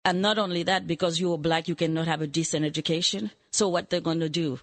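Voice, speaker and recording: A woman's voice: on the low side, steady tone, quick pace. A woman, a studio guest speaking emphatically and indignantly. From Haiti. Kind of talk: casual conversation.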